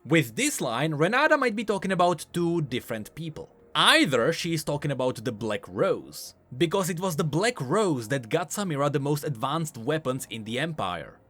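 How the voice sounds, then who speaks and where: A man's voice: a little high, sing-song, moderate pace, hardly any pauses, a bit bright. A man giving scripted, explanatory commentary on video game lore in an emphatic way.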